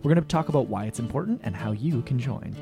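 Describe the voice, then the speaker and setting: A man's voice: medium pitch, lively intonation, fast talker, gravelly, over music. A man co-hosting a radio show in casual chat, a bit nasal from a chest cold, joking about himself. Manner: jokey, self-deprecating. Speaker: radio co-host. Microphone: studio microphone.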